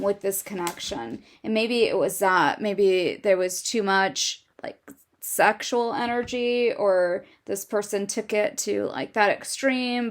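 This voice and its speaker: A woman giving a tarot reading, musing slowly and thinking aloud with a soft, quiet voice into a close microphone. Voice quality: soft, low-volume voice. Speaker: tarot reader. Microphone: microphone right in front of her.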